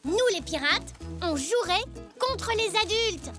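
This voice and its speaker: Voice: very high voice, lively intonation, slow, exaggerated voice. A performer voicing an eager, exclamatory young zebra child in a French children's cartoon, with music behind. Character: excitable young zebra children playing pirates.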